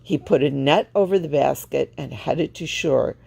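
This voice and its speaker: A woman reading a children's storybook aloud with expressive, deliberate phrasing. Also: deep voice, vocal fry, husky. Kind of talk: read-aloud storybook narration.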